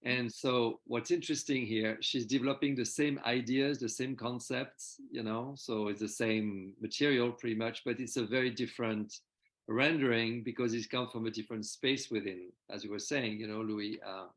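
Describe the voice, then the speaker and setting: A man's voice: mid-range voice, fairly even intonation, normal speed, fairly bright tone. A man co-hosting a casual discussion, hesitating and repeating words as he works out his thoughts. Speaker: co-host.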